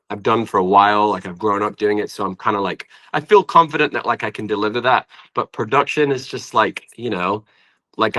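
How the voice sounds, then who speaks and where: A man from the north of England talks casually as a guest songwriter, with a northern English accent. His voice is deep yet bright and forward. He speaks in loose, rambling, informal sentences. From Preston, north of England. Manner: rambling, informal, often says "like". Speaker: guest singer-songwriter and producer. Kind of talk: casual interview chat.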